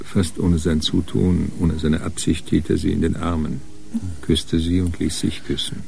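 A man narrating a crime radio play in a measured, sober storytelling manner. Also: low voice, flat delivery, gravelly, over music.

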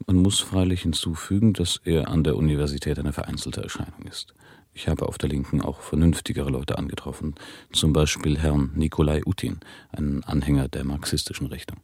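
An actor playing a formal, inquiring reporter in a period radio drama, husky, measured and politely probing. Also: male voice, extremely low pitch, fairly even intonation, darkish tone, full character voice. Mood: sober disquiet. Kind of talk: 1970s German radio drama acting.

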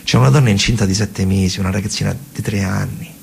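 A man with a Roman accent delivering a dramatic theatre monologue in a colloquial, emphatic way, his voice husky and gravelly. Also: lowish voice, steady tone, fast talker, a little mellow, slight background noise. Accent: Roman Italian. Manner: colloquial, emphatic storytelling with repeated phrases. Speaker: stage actor and storyteller.

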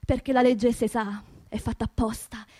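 A woman's voice: a little high, steady tone, normal speed, a little mellow, close to an everyday voice. An actress playing an angry, grieving working-class Roman woman in a theatrical radio monologue, colloquial and bitter, demanding justice. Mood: bitter, hushed. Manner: impassioned, colloquial, bitter rhetorical questions.

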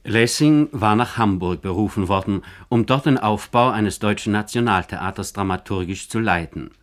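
A man narrating a scripted biographical radio feature in German in a formal, measured manner. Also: fairly low pitch.

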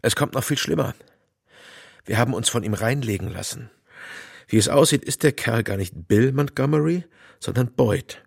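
An actor playing a grim, frustrated police detective in an audio drama, matter-of-fact, with a gravelly, husky voice. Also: male voice, mid-range voice, moderate pace, dry recording, clean studio sound. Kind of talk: German audio drama acting.

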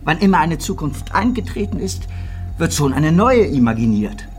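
A smooth-talking stockbroker in a radio play. His voice is fairly high, assured and salesmanlike, with faint music behind it. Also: sing-song, normal speed. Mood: confident. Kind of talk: radio drama dialogue.

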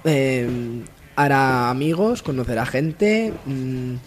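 A man co-hosting a casual comedy radio show, speaking in a halting, drawn-out, mock-solemn way. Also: mid-range voice, expressive, soft background music. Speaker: radio show co-host and scriptwriter.

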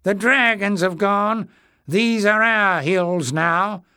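A man's voice: high voice, slow, sharp tone, smooth, no echo, voice pushed up, heavily acted. A man voicing a cruel, mocking grey furry creature who leads a pack and speaks in clipped broken sentences, in a dry storybook reading. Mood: sneering.

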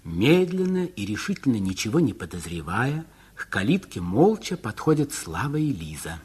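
A man with a husky voice narrating a radio drama from a script. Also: lowish voice, very animated, normal speed, a little mellow.

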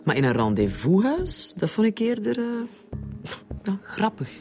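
With faint music behind her, a cool Flemish woman in a radio serial. Her voice sits at a medium pitch, and she is proud, blunt and drily sardonic. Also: big pitch swings, a little slow, a little mellow. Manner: blunt, dry. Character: cool, blunt, sardonic female medical worker, proud wife. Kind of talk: radio drama acting.

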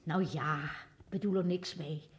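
An actress playing a sharp-tongued, impatient woman in a radio play. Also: lowish voice, steady tone, measured pace, natural voice. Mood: irritable. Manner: curt, irritable. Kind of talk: 1950s radio drama acting.

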